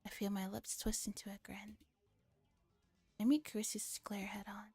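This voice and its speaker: A woman streamer reading a visual novel aloud in a hushed, dramatic ASMR style, often slipping into a whisper. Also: medium pitch, flat delivery, normal speed, husky, faint music behind.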